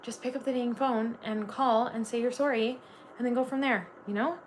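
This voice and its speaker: A woman's voice: a little high, steady tone, moderate pace, a little hiss or hum, rough recording. Chatty Canadian woman reading tarot. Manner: chatty, gushing, with frequent "like" fillers. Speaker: tarot reader. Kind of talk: live tarot reading stream.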